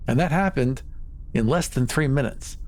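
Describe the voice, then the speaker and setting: A man playing a smooth-talking, evasive colony leader in improvised tabletop roleplay, assured and persuasive. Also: medium pitch, moderate pace, darkish tone, natural voice.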